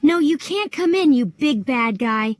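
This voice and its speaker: Little pig, played by a woman: young, defiant, easily frightened, higher-pitched, storybook delivery, dry recording. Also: expressive, a little slow, dry recording. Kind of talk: children's story acting.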